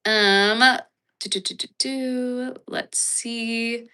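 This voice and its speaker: A woman's voice: a little high, fairly even intonation, very slow, pushed and bright, clear, resonant tone. An American woman livestreaming and chatting with her viewers, rambling and swearing casually, with a sniffly, congested voice. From United States. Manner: rambling, swears freely, many fillers and restarts. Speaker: livestreamer reading chat. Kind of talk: casual chat.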